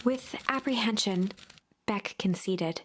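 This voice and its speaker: A woman's voice: medium pitch, slow pace. A woman reading scripted, literary storybook narration for a video game. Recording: loud music behind the voice.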